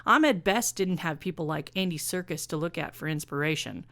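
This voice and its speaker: A woman's voice: sits low, animated, moderate pace, a bit bright. A woman voicing an aggrieved, nostalgic older male film director in a story, grumbling and justifying himself.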